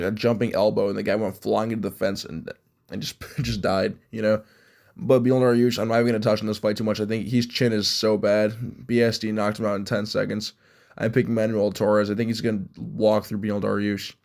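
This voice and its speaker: A man's voice: fairly low pitch, little pitch movement, a little fast, gravelly, darkish tone. A young man hosting an MMA reaction show, congested from allergies, talking in a rambling, opinionated way. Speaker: MMA YouTube host. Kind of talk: casual fight reaction and predictions.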